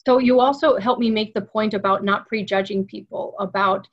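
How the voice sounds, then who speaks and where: A woman hosting a sales interview over a video call from her home office, speaking with animated enthusiasm. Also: medium pitch, normal speed, dull audio. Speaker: webinar host. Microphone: webcam video call.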